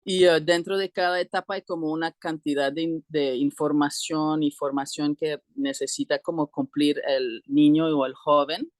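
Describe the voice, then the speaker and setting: A middle-aged woman explaining things conversationally as an interview guest, halting and often clearing her throat. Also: deep voice, steady tone, no echo, clean studio sound. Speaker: interview guest, co-founder of an alternative school.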